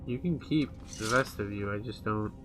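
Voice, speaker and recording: A man's voice: lowish voice, moderate pace, low-quality recording. A man giving casual, offhand, musing commentary while he plays a video game. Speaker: gamer commentating on his play. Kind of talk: casual let's play commentary. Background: game music.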